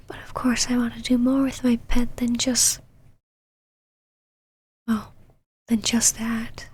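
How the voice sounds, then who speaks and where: A woman voicing a possessive, secretly tender vampire knight in an intimate ASMR roleplay, whispering softly in a haughty yet halting, easily flustered way. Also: fairly high pitch, little pitch movement, full character voice. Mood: shy, vulnerable. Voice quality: breathy, soft whisper.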